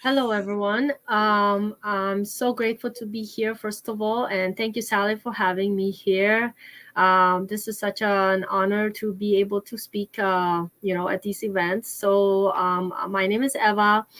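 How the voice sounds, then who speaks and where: A woman's voice: medium pitch, fairly even intonation, a little slow, a bit bright. A middle-aged woman from Poland, an author answering interview questions with many fillers and restarts. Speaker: author and guest.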